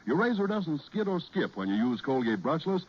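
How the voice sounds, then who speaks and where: Animated, husky American radio pitchman. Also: normal speed. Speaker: commercial announcer. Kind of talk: 1940s radio sales pitch. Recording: muffled 1940s radio recording.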